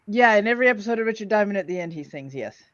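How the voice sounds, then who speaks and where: A woman's voice: medium pitch, animated, rapid-fire, no room sound, dull audio. A woman casually hosting a livestream in a playful, chatty way. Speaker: host of an old-time radio heckling stream.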